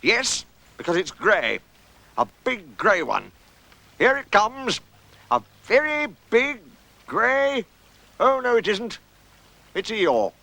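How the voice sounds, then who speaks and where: A simple, earnest bear from a storybook, voiced by a man, pitched above an everyday male voice. He muses aloud in a halting, hesitant way. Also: very animated, gravelly, pushed and bright, full character voice.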